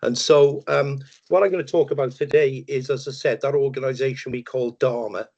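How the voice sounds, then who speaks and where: An older man with a Welsh accent gives a relaxed webinar talk, joking at his own expense and filling pauses with ums and uhs. His voice sits at a middling pitch. The video call audio is a bit muffled. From Wales. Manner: relaxed, chatty, self-deprecating humour with many ums and uhs. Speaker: webinar presenter. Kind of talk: presentation over a video call. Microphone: video call microphone.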